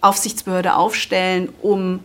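A woman's voice: on the low side, little pitch movement, a little slow, a bit bright. A woman speaking as an official spokesperson, giving formal, matter-of-fact explanations in an interview. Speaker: financial regulator spokesperson.

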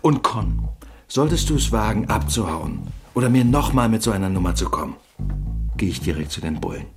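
Curt, domineering garage boss. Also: male voice, lowish voice, huge pitch swings, a little fast, creaky, breathy edge, faint music behind, exaggerated voice. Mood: cold anger. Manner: curt, threatening, sarcastic. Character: gruff, domineering garage boss, husband with a lover. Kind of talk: radio play acting.

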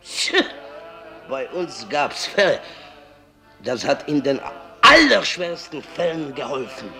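Radio play sailor, male: gravelly, unhurried, wheedling drinker who mutters, then turns emphatic. Music behind. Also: a little high, big pitch swings, bright, forceful tone, full character voice. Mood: earnest, persuading. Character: rough, superstitious, hard-drinking sailor, pleading and wheedling. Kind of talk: German radio play acting.

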